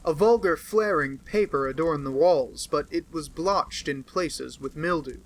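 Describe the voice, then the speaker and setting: Guy reading an old novel out loud, kinda high voice, steady storytelling. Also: measured pace, poor audio. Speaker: audiobook reader. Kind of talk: reading a classic novel aloud.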